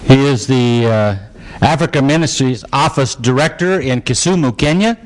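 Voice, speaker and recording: Male host at a church gathering, average pitch, easygoing and joking. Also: moderate pace, rough recording. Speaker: host introducing guests. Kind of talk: casual introductions.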